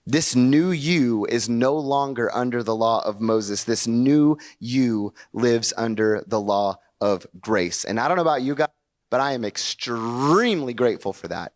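Animated young male pastor preaching. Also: medium pitch, measured pace. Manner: conversational, animated preaching with audience questions. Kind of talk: sermon. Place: church sanctuary before a congregation.

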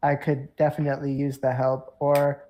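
A woman plays a dutiful, trusting nobleman in a tabletop fantasy game. His voice is pitched low, and he talks formally and earnestly, with some halting. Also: completely flat delivery, dark tone. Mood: solemn. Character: dutiful, trusting nobleman heir, fussy about his name.

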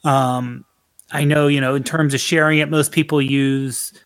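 A middle-aged man chats casually as a podcast co-host, circling back and fixing his words mid-sentence. His voice sits at an ordinary pitch and sounds dry and close, with no room around it. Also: level tone, unhurried, no room sound. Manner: rambling, self-correcting, chuckles often. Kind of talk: casual chat.

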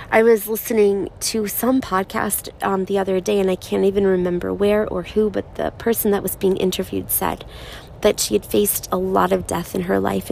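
Woman, 30s, raspy and gravelly from crying, soft halting monologue, faint background hum. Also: medium pitch, low-quality recording. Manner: slow, soft-spoken, halting with pauses. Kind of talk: personal reflective monologue.

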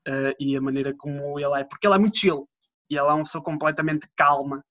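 A young man with a European Portuguese accent, co-hosting a casual podcast chat between friends in a jokey, animated way. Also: on the high side, fast. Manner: jokey, animated, does silly voices. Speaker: podcast co-host. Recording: dull lo-fi sound.

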